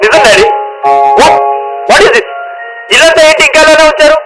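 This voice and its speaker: An actor playing an enthusiastic, affectionate young grandson in a radio play, exclaiming and mixing in English phrases. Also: male voice, very high-pitched, moderate pace, over music, rough recording. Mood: excited.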